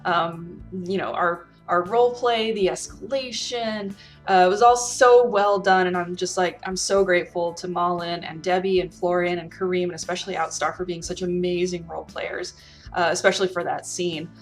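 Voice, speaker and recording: A woman with a slightly low voice speaks in an earnest, emphatic way. She reflects casually on gaming experiences and gives advice, with music playing behind her. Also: steady tone, normal speed. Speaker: role-player and storyteller giving advice.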